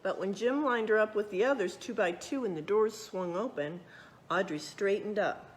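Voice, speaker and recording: A woman reading a children's picture book aloud, expressively, pausing to check in with young listeners. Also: mid-range voice. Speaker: read-aloud storyteller.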